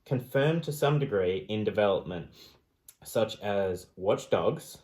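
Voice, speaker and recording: A man's voice: lowish voice, expressive, a little slow, darkish tone. A man talking casually as a co-host on a podcast.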